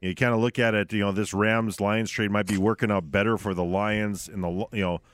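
A man's voice: fairly low pitch, fairly even intonation, brisk, no room sound. A man co-hosting a sports radio show from a studio, talking casually and offering opinions on games and players.

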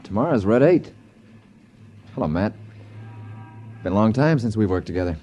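An actor playing a businesslike FBI agent, clipped and matter-of-fact, in a 1950s radio drama. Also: male voice, lowish voice, expressive, normal speed, warm tone. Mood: casual, brisk. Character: businesslike male FBI agent handling an informant. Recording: slightly noisy 1950s radio recording.

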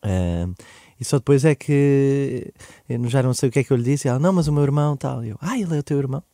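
A man in his thirties with a European Portuguese accent, a podcast guest telling a personal story in casual, lively talk that laughs, rushes ahead, then trails off softly. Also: medium pitch, no echo, clean studio sound.

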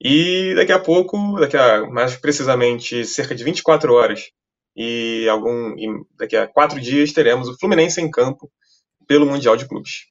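A man's voice: medium pitch, normal speed, dull audio. A man, a sports reporter chatting on a podcast, joking and restarting his sentences. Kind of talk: casual podcast chat.

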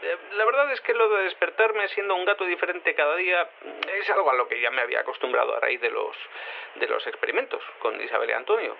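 Confused, rambling fellow on a tinny phone. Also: male voice, fairly high pitch, expressive, fast, vocal fry. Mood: unsure, rambling. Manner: hesitant, stammering, self-contradicting. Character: confused male quantum cat turned human, hesitant, rambling. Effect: telephone filter. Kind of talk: audio fiction acting as an interviewee. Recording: tinny phone line with noise.

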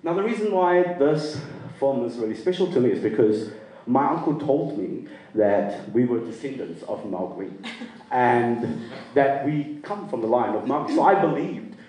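A man tells his story at a live event in a loose, rambling way, laughing as he goes. His voice sits at an ordinary pitch. The sound is heavily echoey and comes from some distance off the mic. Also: animated, normal speed, mellow. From Sri Lanka. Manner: casual, rambling, laughs easily. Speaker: storyteller guest. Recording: roomy echo, from off-mic.

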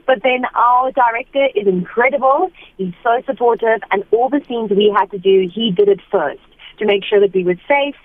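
South African actress on a tinny line. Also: female voice, a little high, no echo. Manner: chatty, laughs often, quick asides. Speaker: actress guest. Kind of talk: casual interview chat.

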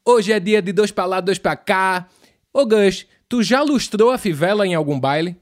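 High-pitched northeastern Brazilian man hosting. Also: normal speed. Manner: playful, uses pet names and regional slang. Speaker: podcast co-host. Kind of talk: casual, slangy music show chat.

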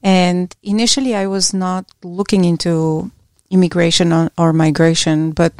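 A middle-aged woman from Bulgaria, an academic guest answering interview questions in a measured, reflective way with small restarts. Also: lowish voice, steady tone, a little mellow, dry recording, studio quality.

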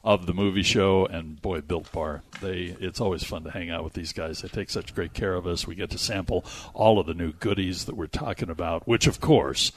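A man's voice: low voice, moderate pace, hardly any pauses, a little hiss or hum. A man hosting a radio show, bantering and reading sponsor plugs effusively, stretching words for emphasis. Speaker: radio movie show host.

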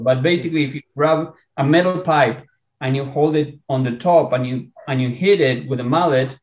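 A man from Argentina with an Argentine Spanish accent, a researcher talking in a podcast interview, enthusiastic and halting with many restarts. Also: medium pitch, roomy sound. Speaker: researcher and guest.

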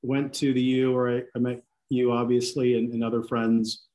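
A man in his forties speaking easily as an interview guest, Greek his first language though his English is fluent. He talks in a relaxed, halting way, stopping to restart his sentences, at a mid pitch. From Seattle. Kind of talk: casual podcast interview.

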